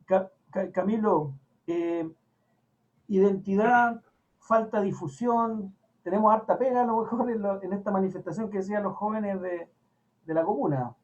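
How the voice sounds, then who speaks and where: A man leads the discussion, asking probing questions and giving brief replies in a fairly high voice. His audio is muffled and low-fidelity, like an online call. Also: normal speed, darkish tone. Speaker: host. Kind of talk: moderating a panel discussion.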